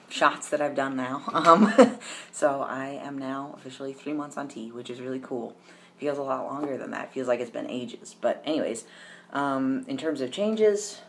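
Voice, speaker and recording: A man's voice: medium pitch, a bit bright, slight background noise. A young man chatting casually to camera in a personal vlog, rambling with lots of ums and restarts. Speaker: vlogger.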